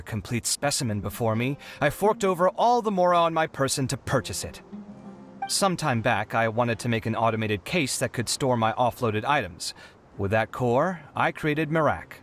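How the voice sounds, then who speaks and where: Put-upon young man inventor male actor, video game; dramatic, long-suffering, medium register, soft music underneath. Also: medium pitch, natural voice. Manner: exasperated, theatrical, sighing. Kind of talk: animated video game character acting.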